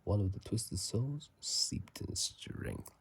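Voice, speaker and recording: A man speaking in a soft ASMR whisper and murmur, casual and halting, recorded on a phone microphone. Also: fairly low pitch, wildly swooping pitch, creaky. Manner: halting, casual, self-correcting. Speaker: channel host. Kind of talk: whispered ASMR game commentary. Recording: faint noise, phone microphone.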